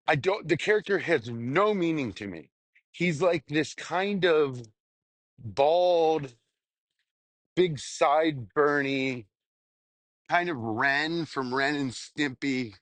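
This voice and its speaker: A young adult man, a stand-up comedian, rambling casually and candidly in a halting, self-reflective way with some casual swearing. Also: fairly high pitch, expressive, a bit bright, no echo. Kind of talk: casual rambling monologue, audio journal.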